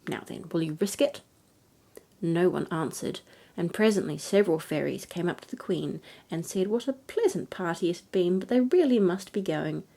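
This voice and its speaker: Mellow woman reading a bedtime story. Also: fairly low pitch, animated, moderate pace, creaky. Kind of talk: gentle scripted narration of a fairy tale.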